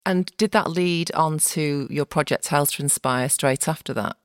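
A woman with a Manchester accent hosting a podcast interview, chatty and quick to laugh. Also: sits low, clear, resonant tone, no room sound, studio quality. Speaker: podcast host.

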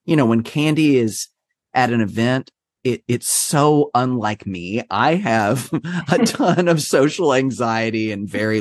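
A man from Texas, a drag performance artist, talking as an interview guest in a rambling, self-deprecating, animated way. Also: mid-range voice, no echo, clean studio sound. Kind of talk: conversational interview.

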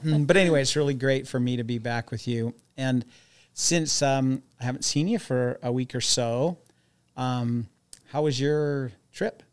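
A man's voice: medium pitch, measured pace, smooth. A man co-hosting a casual podcast, upbeat and joking, with frequent fillers. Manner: upbeat, tells dad jokes, uses fillers. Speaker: podcast co-host.

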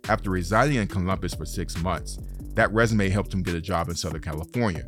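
A man with a very low, deep voice reads the narration as the show's host. He keeps a measured, steady pace through the script, and faint music plays underneath him. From Detroit, United States.

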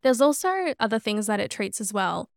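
Smooth-voiced woman podcast host. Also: medium pitch, big pitch swings, normal speed, no room sound, clean studio sound. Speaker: podcast co-host and cosmetic injector. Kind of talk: casual explanatory podcast chat.

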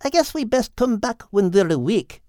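A man's voice: high-pitched, big pitch swings, normal speed, darkish tone, no room sound, studio quality, pitched above the performer's own voice. A man voicing a friendly, caring little seagull for an audiobook. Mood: hushed, considerate. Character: friendly, caring Scottish seagull, small storybook bird. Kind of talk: animated audiobook character voice.

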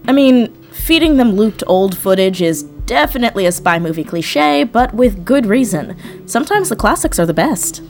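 A woman's voice: a little high, expressive, moderate pace, a little mellow, soft background music. A woman playing a confident hacker in an audio drama, speaking casually with assured, wry delivery. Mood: confident, businesslike. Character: confident, wry female hacker on a heist crew.

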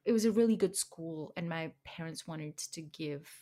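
A woman's voice: sits low, normal speed, warm tone. Chatty Indian woman, podcast guest. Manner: chatty, laughs often, many fillers and restarts. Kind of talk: casual conversation.

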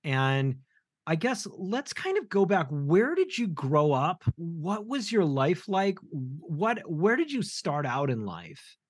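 A man's voice: on the high side, sing-song, slow pace, slightly rough, dry recording, studio quality. A man hosting a podcast interview, asking encouraging open questions. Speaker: podcast host.

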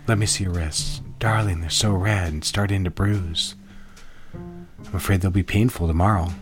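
A controlling male lover in an audio drama, played by an actor. His voice is very deep, and he speaks deliberately and with command. Loud music plays underneath him. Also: fairly even intonation, lightly acted. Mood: tender, possessive. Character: stern, controlling male lover, a married professional.

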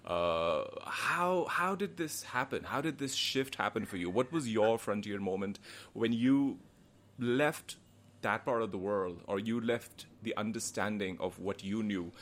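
A man's voice: lowish voice, measured pace. A man hosting an interview, speaking earnestly and searching for words, with many fillers. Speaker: interview host. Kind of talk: conversational interview questions.

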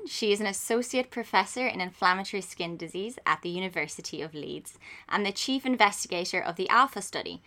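A woman's voice: medium pitch, pushed and bright. A woman hosting a podcast, introducing the guest and asking questions in a friendly, appreciative way. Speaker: podcast host.

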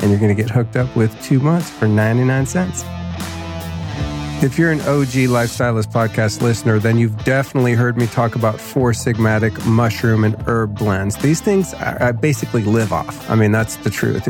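A man's voice: mid-range voice, moderate pace, over music. Laid-back slangy man, a bit nasal. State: slightly nasal from a cold. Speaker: podcast host. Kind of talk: casual podcast intro and ad reads.

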